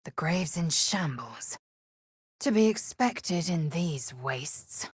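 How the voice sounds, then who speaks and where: A woman's voice: low-pitched, animated, slow pace, husky, no echo, studio quality, heavily acted. A woman voicing a fierce, haughty alien warrior in a fantasy video game, with an imperious, clipped, solemn delivery. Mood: grave, observant.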